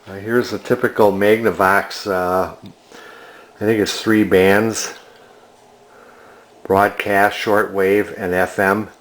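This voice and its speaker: A man giving a casual, unscripted walk-through as a collector, talking in a halting, musing way. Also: fairly low pitch, flat delivery, fairly bright tone. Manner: hesitant, musing, halting delivery. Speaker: vintage electronics collector presenting a find.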